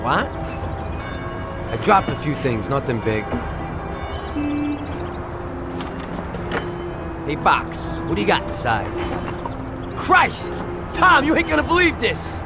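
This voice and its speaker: An actor playing an excitable, scheming small-time American gangster in a video game, talking in streetwise slang. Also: male voice, medium pitch, unhurried, long pauses, loud music behind the voice. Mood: excited. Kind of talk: video game cutscene acting.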